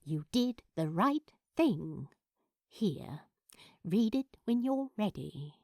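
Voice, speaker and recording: Storybook friend read by a woman: firm, comforting, no-nonsense, with varied pitch. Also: big pitch swings, slow, darkish tone, no room sound, studio quality, full character voice. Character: blunt, supportive female friend.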